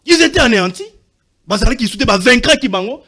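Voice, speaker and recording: Insistent high-voiced Congolese man. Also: animated, fast talker, bright, forceful tone. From Katanga, DR Congo. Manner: insistent, talks over others, switches between French and Lingala. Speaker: debate panelist. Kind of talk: heated TV debate.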